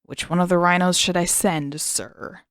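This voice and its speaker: A woman's voice: lowish voice, fairly even intonation, moderate pace, a bit bright, smooth, no echo, clean studio sound. A woman voicing a skeptical military lieutenant in an audiobook, formal and questioning. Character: skeptical male military lieutenant, grudgingly dutiful.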